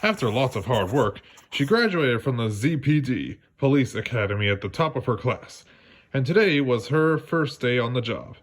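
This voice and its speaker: A man narrating a children's read-along story in a lively storytelling manner. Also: medium pitch, moderate pace. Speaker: storybook reader.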